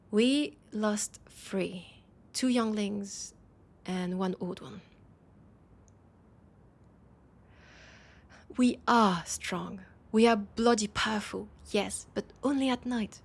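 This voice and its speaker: A woman with a French accent playing a proud, worried vampire of a supernatural council in an intimate audio roleplay, speaking in an earnest, halting way. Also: medium pitch, creaky, slightly rough. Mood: bitter, angry.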